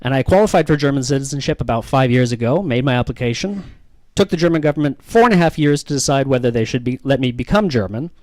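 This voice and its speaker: A man's voice: medium pitch, quick pace, few pauses, a little mellow, no room sound. A man with a Canadian accent doing self-deprecating, ironic stand-up comedy and bantering with the audience.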